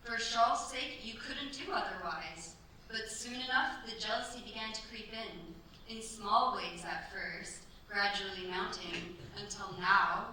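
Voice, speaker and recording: A woman's voice: medium pitch, unhurried, pushed and bright. A woman playing a proud, defiant young mistress of a French chateau in a staged period radio play, speaking theatrically and imperiously. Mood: bitter, desperate. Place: cultural centre stage, live performance. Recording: echoey stage, distant mic, faint noise.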